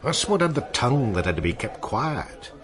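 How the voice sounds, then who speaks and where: A man's voice: medium pitch, sing-song, normal speed, a crowd in the background, natural voice. An actor playing a seasoned, wistful seaman in a radio drama, telling tales in a musing, colloquial way. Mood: hushed awe, intense. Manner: musing, colloquial storytelling with seafaring turns of phrase. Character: seasoned old seaman, wistful, salty storyteller.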